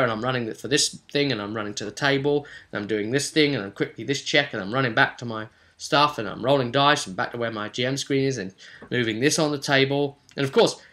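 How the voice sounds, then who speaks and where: Man, mid-pitched, sharp bright tone, animated game master, emphatic repetition, rambling vlog commentary. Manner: animated, emphatic, repeats words for stress, acts out imagined players' remarks. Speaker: tabletop game master and vidcast host.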